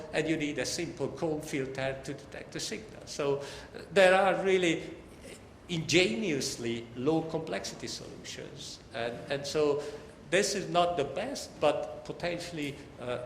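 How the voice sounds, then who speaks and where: Older guy lecturing on tech in a big echoey hall, voice a bit high, chatty with wry asides. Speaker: professor giving an academic lecture. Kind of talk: technical lecture to an audience. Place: large lecture hall, indoors. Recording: echoey hall, faint background noise.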